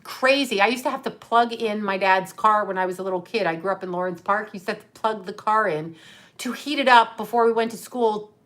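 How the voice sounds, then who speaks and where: A woman's voice: medium pitch, fairly quick, fairly bright tone. A middle-aged woman hosting a live stream, telling a rambling personal story in a casual way and answering viewer comments along the way. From Canada. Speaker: livestream host.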